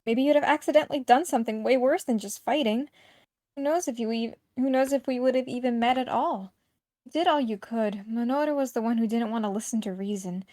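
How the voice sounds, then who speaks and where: Woman playing a higher-voiced, earnest, outspoken teen. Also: fairly quick, dark tone, dry recording, studio quality, close to an everyday voice. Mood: firm, reassuring. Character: earnest, outspoken, caring teenage visual novel protagonist.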